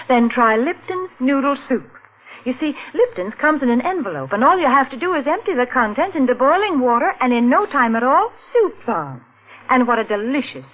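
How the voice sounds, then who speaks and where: A woman announcer reading a 1940s radio commercial. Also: fairly high pitch. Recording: tinny, noisy old radio recording.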